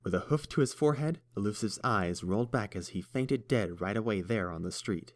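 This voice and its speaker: A man reading a fan story aloud with a very low voice. His delivery is steady and even, paced like an audiobook. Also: brisk. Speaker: narrator.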